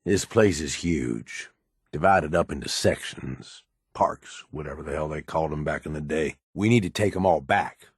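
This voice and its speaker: A man voicing a cynical, ruthless raider henchman in a video game, with a rough husky voice and a folksy, slangy, sardonic drawl. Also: a bass voice, moderate pace, no echo, studio quality. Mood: confident, conspiratorial. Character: gruff cynical ruthless male raider henchman in a post-apocalyptic wasteland.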